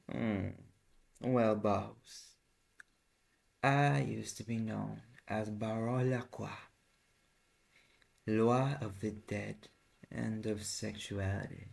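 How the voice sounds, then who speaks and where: A man playing an ancient, suave male incubus in an audio roleplay, with a Southern US accent and a smooth-talking, teasing manner, speaking intimately to the listener. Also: lowish voice, a little mellow, exaggerated voice. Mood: earnest, persuasive. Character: alluring male incubus, ancient suave flirtatious business dealer. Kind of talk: roleplay audio drama, intimate second-person address.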